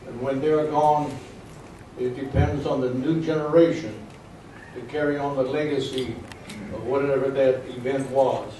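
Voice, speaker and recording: A man leading an opening prayer at a ceremony in a solemn, measured way. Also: medium pitch, dark tone, slight background noise. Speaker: prayer leader. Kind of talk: invocation prayer. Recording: echoey hall recorded from far off.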